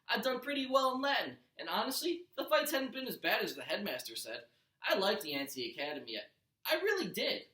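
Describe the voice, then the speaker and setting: A man's voice: high voice, sing-song, brisk, sharp tone. A man, a teacher, narrating a first-person storybook aloud with expression. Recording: roomy echo.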